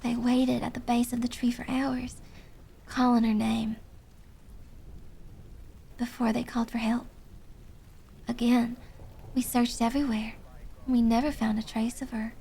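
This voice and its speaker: A woman playing a search and rescue officer who tells eerie forest stories in a measured, serious way. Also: sits high, completely flat delivery, fairly bright tone, heavily acted. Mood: somber, eerie. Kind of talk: first-person scary story reading.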